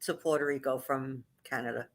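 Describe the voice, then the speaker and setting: A woman's voice: extremely low pitch, fairly even intonation, fairly quick. A woman chatting on a livestream, asking her friends question after question and laughing often. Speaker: livestream co-host. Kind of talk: casual chat.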